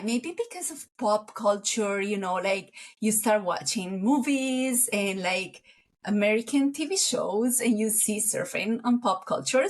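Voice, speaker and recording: A woman, chatting as an interview guest in an unhurried way, often searching for words. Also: mid-range voice. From Colombia. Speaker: guest surfer. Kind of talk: casual interview chat.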